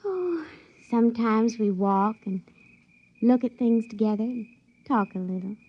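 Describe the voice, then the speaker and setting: A woman's voice: fairly high pitch, unhurried. An actress playing a naive, moody young backwoods girl in a radio drama, with a rural American hill-country accent and a hesitant, folksy way of talking. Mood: shy, tender. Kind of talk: 1950s radio drama acting. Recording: dry, dull vintage radio recording.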